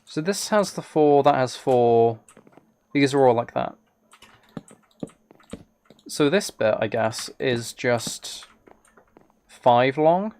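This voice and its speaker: A man with a mid-pitched voice and plenty of fry chats casually as he streams a game. He thinks aloud in hesitant, polite fragments, trailing from one small thought to the next. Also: lively intonation, slow pace, pauses often, darkish tone, slight background noise. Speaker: live streamer. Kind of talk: casual livestream chat while playing a video game.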